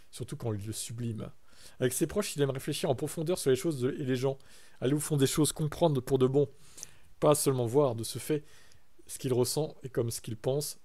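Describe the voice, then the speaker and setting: A man's voice: mid-range voice, dark tone. A young man from France chatting casually on a live stream, reacting to his chat and reading text aloud.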